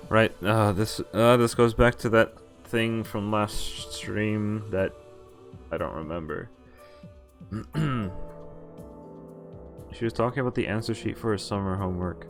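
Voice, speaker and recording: A man streaming a visual novel, reading the text aloud and breaking off haltingly into muttered casual commentary. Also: on the low side, over music. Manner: halting, often pausing to mutter asides. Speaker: game streamer.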